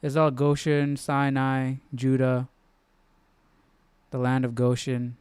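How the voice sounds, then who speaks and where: A man's voice: mid-range voice, level tone, darkish tone, clear, resonant tone, no echo. Casual man thinking aloud, clean close sound. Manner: informal, slangy, halting as he works things out. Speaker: host of a live Bible study stream.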